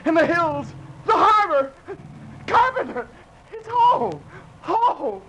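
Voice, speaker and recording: Haunted sailor, high voice, wildly swinging pitch, anguished declamation, old radio drama. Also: male voice, sing-song, slow, pushed and bright, heavily acted. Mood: desperate joy. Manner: theatrical, fervent, anguished declamation. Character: haunted, guilt-ridden old sailor retelling his doomed voyage. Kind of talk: 1940s radio drama acting, verse-like narration. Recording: noisy old 1940s radio recording.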